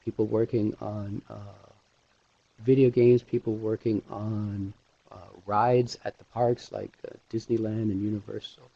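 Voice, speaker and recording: A middle-aged man giving an informal online presentation as an instructor, speaking haltingly with pauses mid-sentence through a headset mic. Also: fairly low pitch, little pitch movement, vocal fry, no echo. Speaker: animation instructor hosting a webinar. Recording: dull, lo-fi webinar audio.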